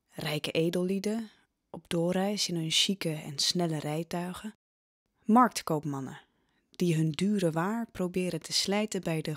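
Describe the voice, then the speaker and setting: A woman's voice: fairly low pitch, no echo, studio quality. A woman narrating a scripted audio drama as a dramatic storyteller.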